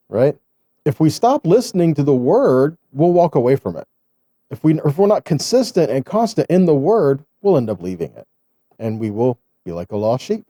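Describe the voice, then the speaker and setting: A man's voice: on the high side, mellow, dry recording, studio quality. A man giving a conversational sermon as a Bible teacher, reading scripture and explaining it with rhetorical questions and frequent check-in tags.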